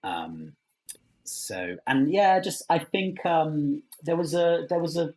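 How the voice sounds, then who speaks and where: A man's voice: on the high side, expressive, darkish tone. A man answering interview questions as a writer, thoughtful and hesitant, often rephrasing as he goes. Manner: hesitant, halting phrasing with many trailing rephrasings.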